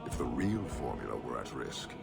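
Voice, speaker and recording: Stern huge horned-race commander, extremely low gravelly voice, cold, proud, solemn clipped delivery, fantasy game, loud music behind. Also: male voice, big pitch swings, full character voice. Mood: aloof, solemn. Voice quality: very deep, gravelly. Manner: measured, formal, clipped solemn phrasing. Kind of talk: video game voice acting.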